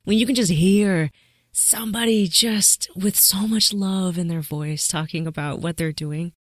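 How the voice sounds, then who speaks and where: A woman's voice: lowish voice, a little slow, no echo, studio quality. A woman hosting a podcast interview, effusive and encouraging as she wraps up and signs off. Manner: warm, effusive hosting, "so exciting". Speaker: podcast host.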